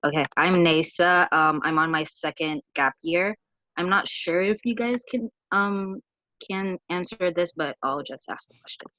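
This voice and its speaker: A woman's voice: on the low side, unhurried, bright, forceful tone, no room sound. A woman from Haiti asking a question on a video-call panel, hesitant and searching for her words. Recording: dry, muffled video call audio.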